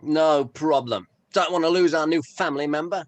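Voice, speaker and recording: A man playing a friendly, excitable, tipsy bandmate in a script table read, breezy and exclaiming. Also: a little high, moderate pace, close to an everyday voice. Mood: breezy, cheerful. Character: friendly, excitable, tipsy bandmate James, smoking outside pub.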